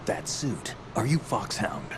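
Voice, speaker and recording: Gruff, low-voiced veteran soldier. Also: male voice, very animated, a little slow, noisy background. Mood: probing. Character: gruff, guarded veteran soldier man, sardonic. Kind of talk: video game cutscene acting.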